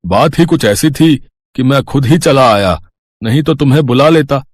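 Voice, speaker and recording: A man's voice: mid-range voice, expressive, moderate pace, dry recording, studio quality, natural voice. A man voicing a kindly, concerned older village landlord in a moral cartoon, speaking in a hesitant, fatherly way. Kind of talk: Hindi moral cartoon dubbing.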